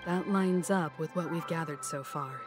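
An actress playing a composed, analytical young woman in a space-fantasy game, speaking in a measured, matter-of-fact way. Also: low-pitched, lightly acted. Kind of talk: scripted game voice acting. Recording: game music behind the voice.

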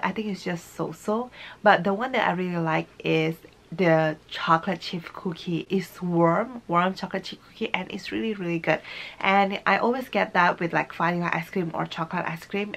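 A woman's voice: on the low side, fairly even intonation, normal speed, creaky, fairly bright tone. A woman, giving a casual review to camera in a small room at home. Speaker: vlogger.

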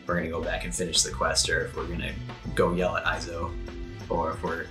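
Male tabletop player, lowish voice, casual chat, faint music behind. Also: completely flat delivery, brisk.

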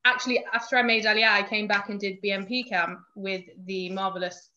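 A woman's voice: mid-range voice, little pitch movement, brisk, bright, forceful tone. A woman with a Mancunian accent, a podcast guest chatting casually with frequent fillers. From Manchester, England. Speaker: guest, youth movement emissary. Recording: dull lo-fi audio.

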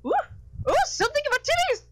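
A woman's voice: very high-pitched, big pitch swings, fast talker. A woman voicing a haughty, scolding older matchmaker in an improvised cartoon fandub. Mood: outraged. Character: stout, scornful, bullying older female matchmaker.

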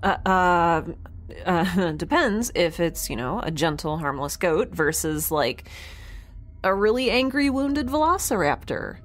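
Woman acting a geeky young space explorer, lower-pitched, slow halting delivery, sci-fi podcast drama, flustered but kindhearted. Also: expressive. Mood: nervous. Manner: halting, conversational, with sighs and self-corrections. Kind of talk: audio drama acting, informal recorded log.

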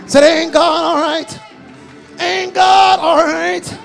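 A man preaching a fervent, half-chanted sermon in a gravelly voice, repeating phrases rhythmically and punctuating them with "uh". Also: very high-pitched, steady tone, slow pace, fairly bright tone. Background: music behind the preaching.

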